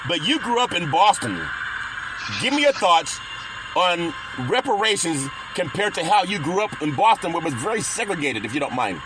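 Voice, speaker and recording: A male sports talk radio host with a somewhat high voice, animated and quick to jump in with questions and short remarks. Clear background noise sits under the broadcast. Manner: animated, chanting, laughs often, quick interjections. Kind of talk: casual radio interview.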